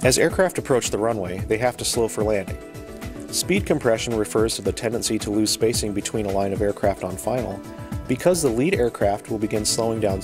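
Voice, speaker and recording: A man reading scripted educational narration about procedures in a measured, explanatory manner. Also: on the low side. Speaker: narrator of an aviation training video.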